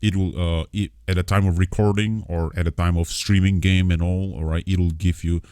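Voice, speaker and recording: Very deep, mellow man giving a software tutorial. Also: fairly even intonation, clean tone, no echo, studio quality. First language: not English. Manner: repetitive, halting step-by-step explaining. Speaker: tutorial host. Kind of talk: casual software tutorial, screen walkthrough.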